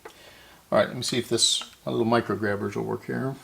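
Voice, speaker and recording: A man's voice: on the low side, steady tone, a little fast, creaky, bright, forceful tone. A man giving casual hands-on repair narration, thinking aloud and often correcting himself as he works. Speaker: host of a radio repair channel.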